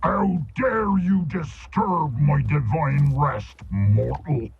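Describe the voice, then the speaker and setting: A man voicing a fat, greedy, pompous creature who poses as a god in a video game, with gravelly theatrical speech. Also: on the high side, very slow, in a large hall, dull audio, full character voice. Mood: imperious. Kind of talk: video game character acting.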